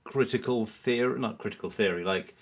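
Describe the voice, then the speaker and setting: A man's voice: fairly low pitch, a little fast, gravelly. A man speaking as a podcast guest in a casual, analytical discussion, often rephrasing himself as he thinks aloud.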